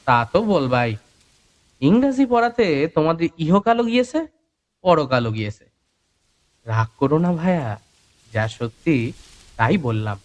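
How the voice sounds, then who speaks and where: Brief: proud superstitious village healer, older, rural Bengali dialect, slightly high voice with lively swings, audio drama. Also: male voice, measured pace, pauses often. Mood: scornful. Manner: colloquial, boastful, emphatic. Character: boastful folk-healer man, older, chatty, superstitious, proud. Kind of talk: audio story character dialogue.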